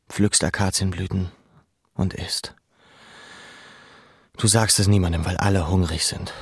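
A reflective camp inmate telling his memories in an audio drama. His voice is fairly low and gravelly, recorded close and dry. The delivery is measured and understated. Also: no echo, full character voice. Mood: measured, incantatory. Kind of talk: literary audio drama narration and dialogue.

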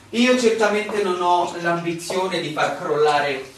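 Male, high voice, a stubborn, ironic surveyor in radio drama; distant from mic. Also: takes it slowly. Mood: ironic, probing. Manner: formal, ironic, theatrical delivery. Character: insistent young male land surveyor, a stranger challenging officials. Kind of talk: stage-style radio drama acting. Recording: noisy echoey room, far from mic.

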